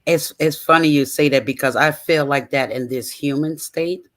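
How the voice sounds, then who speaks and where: Guy sharing earnestly, kinda hesitant, higher voice. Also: fairly even intonation, moderate pace. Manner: earnest, hesitant, laughing softly. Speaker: caller sharing with the group.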